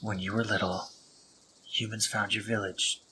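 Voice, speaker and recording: Man voicing a gentle stammering spider monster. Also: lowish voice, little pitch movement, noisy background, lightly acted. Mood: remorseful. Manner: halting, stammering, slipping into soft whispers. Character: gentle remorseful male spider monster, young, protective. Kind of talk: audio roleplay drama.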